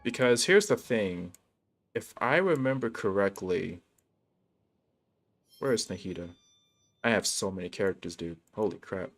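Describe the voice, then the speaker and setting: A man with a fairly low voice talks through a game on his livestream. His delivery is casual and laid-back, full of bro slang. Faint game music plays behind him. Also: animated, unhurried, pauses often. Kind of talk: casual gaming livestream chat.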